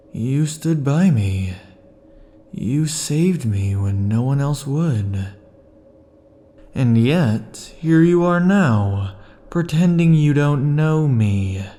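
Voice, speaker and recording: A man playing a slow, dark obsessive immortal. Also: mid-range voice, expressive. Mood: mocking. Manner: slow, intimate, brooding delivery with sudden furious outbursts. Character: obsessive male immortal, possessive and unstable lover. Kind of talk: ASMR roleplay.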